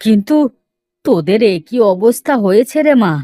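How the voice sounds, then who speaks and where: A woman's voice: mid-range voice, expressive, normal speed, mellow, dry recording, natural voice. A woman voicing a worried village father of three daughters in a cartoon story. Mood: shocked, worried.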